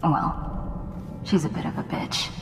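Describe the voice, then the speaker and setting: A woman's voice: takes it slowly, close to an everyday voice. A woman playing a blunt, sardonic assassin's lieutenant in a video game, talking in a matter-of-fact way. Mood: dry. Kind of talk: scripted game dialogue. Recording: echoey, reverberant, noisy ambience behind.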